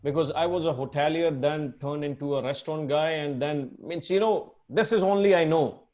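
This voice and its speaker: A man's voice: on the high side, a little fast, darkish tone, highs cut off. An Indian man with an Indian English accent, hosting a casual interview. He is effusive, laughs often and keeps repeating his thanks. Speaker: host. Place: Scotland.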